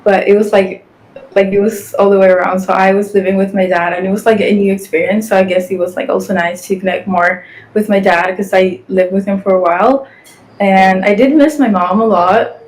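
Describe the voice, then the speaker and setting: A woman's voice: medium pitch, poor audio. Podcast guest: young woman, 18, from Guatemala, easygoing and chatty, lots of "like". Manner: chatty, frequent "like" fillers. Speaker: guest, fashion influencer and model. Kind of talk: casual podcast interview.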